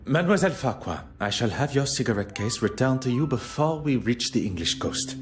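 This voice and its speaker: A man plays a theatrical Belgian detective with a French accent and a very lively, swooping delivery. He is formal, polite and precise, always probing, as in a mystery game. Soft music plays behind him. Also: medium pitch, fairly quick, natural voice. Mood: courteous. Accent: French-speaking Belgian. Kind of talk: video game voice acting.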